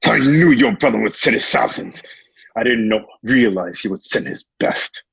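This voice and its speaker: A man playing a bitter, grieving, distrustful Roman gladiator in an amateur radio drama, gravelly and husky. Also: mid-range voice, animated, normal speed, no room sound, rough recording. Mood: bitter, accusing.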